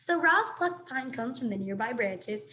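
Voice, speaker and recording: A woman reading a children's book aloud in an expressive, animated storytelling manner. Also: on the high side, moderate pace, a little hiss or hum. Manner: animated, dramatic sound effects and asides.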